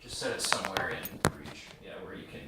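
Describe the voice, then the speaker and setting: A man casually coaching a performer off-script in a halting, informal way. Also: sits low, lively intonation. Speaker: recording director. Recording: distant, very echoey, noisy room.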